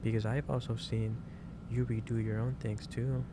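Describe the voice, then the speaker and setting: A man playing a gentle, concerned office boss in an ASMR roleplay, speaking haltingly and repeating his phrases. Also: medium pitch, little pitch movement, background noise, natural voice. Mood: gentle lecturing. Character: gentle, concerned male office boss lecturing an overworked employee. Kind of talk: improvised ASMR roleplay addressed to the listener.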